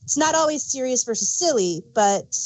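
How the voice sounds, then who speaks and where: A woman's voice: sits high, lively intonation, moderate pace, a little mellow, rough recording. A woman co-hosting a casual fan discussion, rambling and searching for words as she gives emphatic examples. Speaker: podcast co-host.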